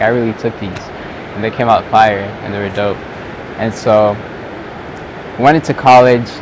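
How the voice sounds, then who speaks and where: A young man from Southern California, a vlogger and photographer, chatting loosely to the camera outdoors at sunset, rambling with lots of "like"s. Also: mid-range voice. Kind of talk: casual chat to camera. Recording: outdoor noise with traffic.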